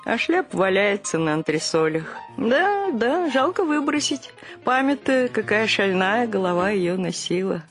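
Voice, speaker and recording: This is an older, cultured family matriarch in a radio drama. She is frank and amused, leaning on her words expressively in a mid-pitched voice. Faint music sits underneath. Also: big pitch swings, unhurried. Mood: amused reminiscing. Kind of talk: radio play acting.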